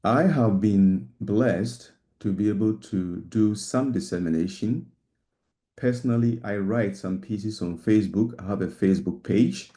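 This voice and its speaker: A man sharing sincerely and at a measured pace at an online spiritual gathering. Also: fairly low pitch, dark tone. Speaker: community member sharing.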